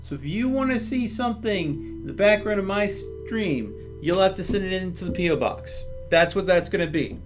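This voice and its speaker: A man's voice: high voice, animated, normal speed, hardly any pauses, faint music behind. A man hosting a livestream, casually talking through his game step by step and chatting with viewers. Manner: thinks aloud step by step.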